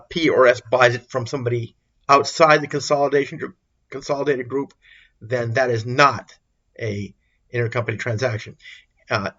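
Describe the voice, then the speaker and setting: A man's voice: mid-range voice, pushed and bright, dull audio. A man giving an informal explanatory lecture as an accounting instructor, repeating and rephrasing his points for emphasis. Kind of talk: explanatory lecture over a worked example.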